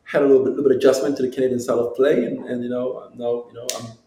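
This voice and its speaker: A man's voice: medium pitch, flat delivery, very fast, dark tone, reverberant, slight background noise, low-quality recording. A man in his thirties from Jamaica, speaking casually as an athlete being interviewed, often repeating phrases and using verbal tags. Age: 30s. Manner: repetitive, peppered with "you know". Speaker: football player, interview guest.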